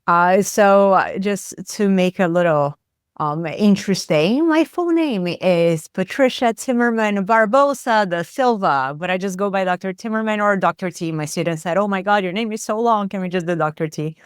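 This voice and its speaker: A woman's voice: mid-range voice, moderate pace, smooth, dry recording, studio quality. A woman with a Brazilian accent, a psychotherapist explaining her ideas thoughtfully in an interview, with many fillers. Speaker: psychotherapist guest. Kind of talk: interview conversation.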